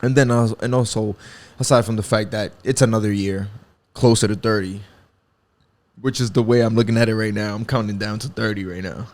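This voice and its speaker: A man's voice: on the low side, fairly even intonation, fairly quick. A man in his twenties hosting a podcast, talking casually and reflectively with lots of fillers and swearing. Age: 20s. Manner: rambling, reflective, swears freely, many fillers. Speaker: podcast host. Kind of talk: casual solo podcast talk.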